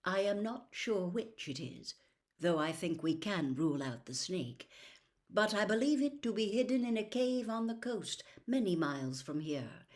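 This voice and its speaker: A woman voicing a calm, elderly male wizard headmaster in a storybook, soft, measured and grave. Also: fairly low pitch, close to an everyday voice. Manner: measured, calm, gentle gravity.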